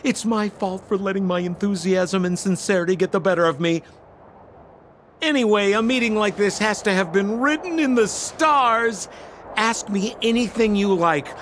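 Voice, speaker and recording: A man's voice: sits high, a bit bright, a little hiss or hum. A man playing a sly, theatrical, ingratiating treasure-hunting con man in a video game.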